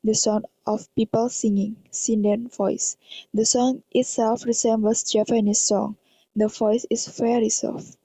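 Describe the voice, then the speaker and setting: Flat, high-voiced Indonesian woman narrator. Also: unhurried, no room sound, low-quality recording. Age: young adult. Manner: reading aloud in non-native English. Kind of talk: scripted narration of a horror radio drama.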